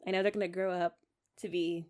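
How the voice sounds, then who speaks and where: A young woman playing a loving, sentimental young wife in a romantic ASMR roleplay, talking tenderly and haltingly as if to her partner. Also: on the low side, little pitch movement, darkish tone, lightly acted. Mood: excited, wistful. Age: young adult.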